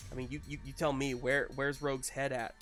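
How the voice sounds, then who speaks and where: A woman game master narrating a live tabletop roleplaying game, thinking aloud in a halting way. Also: very deep voice, level tone, a bit bright, faint music behind. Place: live online game stream.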